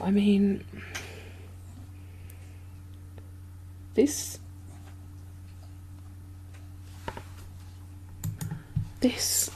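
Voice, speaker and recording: A woman playing an anxious, caring zombie apocalypse survivor in a soft-spoken, half-whispered ASMR roleplay, hesitant and musing, with breathy sighs. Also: mid-range voice, slight background noise, lightly acted. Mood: disbelieving.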